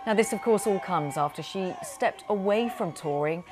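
A female news presenter introducing stories and handing over to a correspondent, clear and scripted. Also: mid-range voice, normal speed, music in the background.

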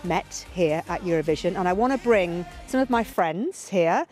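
A woman's voice: lowish voice, animated, normal speed, a little mellow, soft background music. A woman reporting live on television from an event, chatting and bantering with her guests in a live interview style. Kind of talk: live outside broadcast interviewing.

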